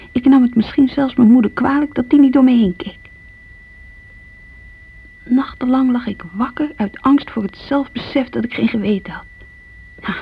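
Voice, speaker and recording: An actress playing a lonely, guilt-ridden younger daughter in a Dutch radio drama, confessing hesitantly in a creaky, sometimes breathy voice. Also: female voice, a little high, exaggerated voice. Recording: muffled, noisy old radio recording.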